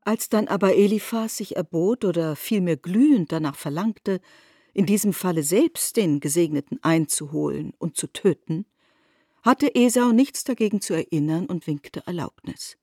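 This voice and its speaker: A woman narrating a literary radio drama in deliberate, elevated phrasing. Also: fairly low pitch, dark tone, slightly rough, dry recording, clean studio sound.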